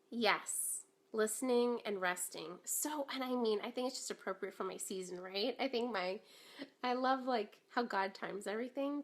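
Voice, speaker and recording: Woman, 30s, live-stream host with a cold-tinged rasp, chatty, upbeat, filler-heavy. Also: mid-range voice. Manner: chatty and upbeat, many ums and likes, greets viewers. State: slightly raspy from a cold. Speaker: business coach hosting a live stream. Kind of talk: casual live-stream chat.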